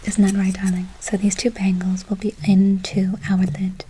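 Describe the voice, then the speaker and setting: A woman playing a doting, whimsical forest witch in an ASMR roleplay, cooing endearments and gently reassuring her listener. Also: mid-range voice, completely flat delivery, moderate pace, slightly rough. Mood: whimsical, gentle.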